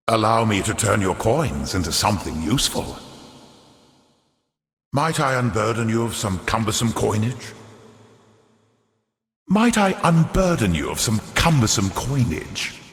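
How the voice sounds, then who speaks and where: An actor playing a sly, pompous older fallen aristocrat turned greedy merchant in a video game, speaking in florid, theatrical, unctuous patter. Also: male voice, on the low side. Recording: echoey hall reverb on voice.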